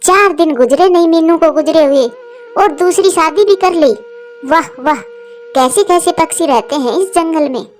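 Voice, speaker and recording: A woman's voice: very high voice, moderate pace, soft background music. A woman voicing a spiteful, gossiping female crow with a sneering, taunting manner in a cartoon story. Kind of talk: Hindi cartoon story acting.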